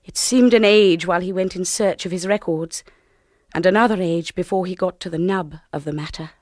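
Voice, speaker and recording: An actress playing a gentle, composed young English wife, narrating and acting in a radio drama. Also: female voice, on the low side, a little fast, no echo, studio quality, natural voice. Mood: reflective.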